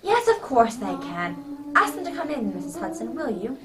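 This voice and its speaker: A woman playing a genial English gentleman doctor who acts as Santa Claus in an amateur radio drama. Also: high voice, big pitch swings, moderate pace, crowd noise. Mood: jolly, flustered. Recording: distant microphone, background noise.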